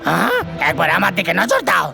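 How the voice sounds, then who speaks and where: A woman's voice: on the low side, wildly swooping pitch, fairly quick, vocal fry, full character voice. A woman voicing a pompous, skeptical jungle king in a cartoon. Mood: suspicious, haughty. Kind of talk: animated cartoon dubbing. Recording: music behind the voice.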